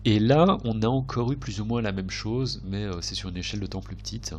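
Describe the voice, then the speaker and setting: A low-pitched man, a little stuffed up with a cold, talks through charts off the cuff. He hesitates and rephrases as he thinks aloud. A faint hum and some noise sit under his voice. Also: fairly quick, darkish tone. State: slightly congested with a cold. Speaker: trading video host. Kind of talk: unscripted chart commentary.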